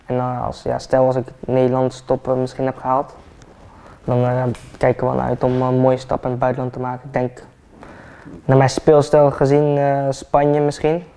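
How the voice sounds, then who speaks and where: Flat-voiced young man in a barber chair. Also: medium pitch, moderate pace, clean tone. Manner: relaxed, hesitant with many fillers. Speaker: football player, interview guest. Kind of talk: casual interview chat.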